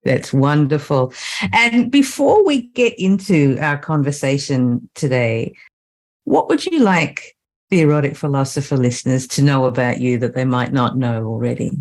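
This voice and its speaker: A middle-aged woman hosting a podcast about sex and relationships, moving from a scripted intro into relaxed interview chat. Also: very deep voice, moderate pace. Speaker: podcast host, sexologist.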